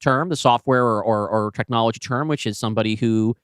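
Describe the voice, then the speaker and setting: A man's voice: mid-range voice, brisk, clean tone, no room sound, studio quality. A man co-hosting a podcast, discussing things casually in an analytical, encouraging way. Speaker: podcast co-host.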